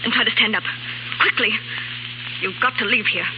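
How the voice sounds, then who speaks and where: A stern household woman played by an actress, giving orders in a brisk, imperious, urgent way. The 1930s radio drama recording is muffled, with heavy background noise. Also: on the high side, natural voice. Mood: urgent, commanding.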